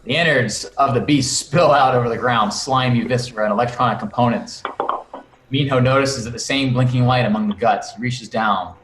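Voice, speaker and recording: A man narrates scripted action at a table read in a medium-pitched voice. The sound is roomy, like a video call, with faint noise behind him. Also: a little fast, a bit bright. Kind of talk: scripted narration of stage directions.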